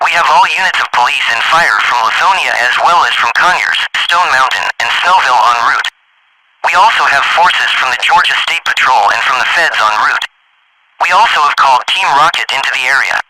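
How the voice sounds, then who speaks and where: A man's voice: mid-range voice, expressive, brisk, bright, forceful tone, a little hiss or hum, low-quality recording. A man playing a businesslike emergency dispatcher giving reports in a scripted amateur audio drama. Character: businesslike male dispatcher reporting units en route.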